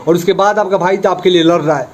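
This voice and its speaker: A man's voice: sits high, fairly even intonation, fast talker. A man makes an informal, insistent appeal to his followers as a vlogger. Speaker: YouTuber appealing for support. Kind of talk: informal vlog address to followers.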